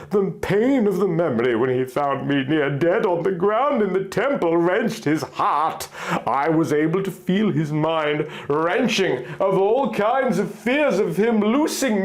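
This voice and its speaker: A man's voice: high-pitched, expressive, takes it slowly. A man narrating a piece of fan fiction in a theatrical, exaggerated way. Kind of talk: dramatic reading of fan fiction.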